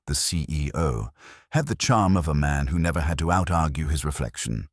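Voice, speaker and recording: A man reading scripted first-person detective story narration in a wry, measured manner. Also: deep voice, dry recording, studio quality. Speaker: audiobook narrator.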